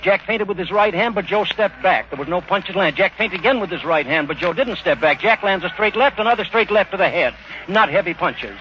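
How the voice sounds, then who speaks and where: Fast, high-pitched man calling vintage radio boxing. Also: animated. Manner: clipped, running present-tense description. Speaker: boxing commentator. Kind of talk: 1930s live radio blow-by-blow sports commentary. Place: outdoor stadium ringside. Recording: noisy, dull 1930s radio broadcast recording.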